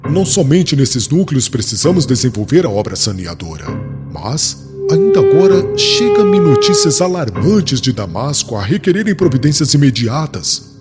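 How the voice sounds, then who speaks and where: An actor playing a proud, stern young rabbi zealot addressing a council in formal, declamatory Brazilian Portuguese for a dramatized audiobook. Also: male voice, medium pitch, big pitch swings, moderate pace, close to an everyday voice. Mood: grave, urgent. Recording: long hall reverb, music underneath. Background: music.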